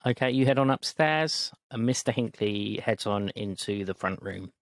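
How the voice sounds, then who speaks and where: A man's voice: on the low side, animated, moderate pace, no room sound. Man narrating as game master, dry sound.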